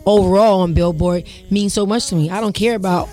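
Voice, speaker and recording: A young woman singer with a deep voice, chatting casually in an interview in a rapid, slangy, emphatic way. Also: steady tone, dark tone, faint music behind. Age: young adult. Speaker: singer guest.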